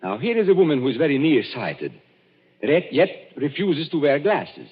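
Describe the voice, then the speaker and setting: An actor playing a shrewd gentleman detective, unmasking a killer in 1940s radio-drama style, measured and commanding. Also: on the high side, huge pitch swings, slightly rough, full character voice. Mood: calm, triumphant. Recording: muffled 1940s radio broadcast.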